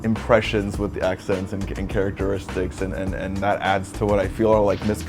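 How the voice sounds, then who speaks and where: A man's voice: low voice, little pitch movement, a little fast, over music. A man in casual conversation, an actor talking earnestly, often stumbling and repeating words. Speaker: actor co-hosting a chat.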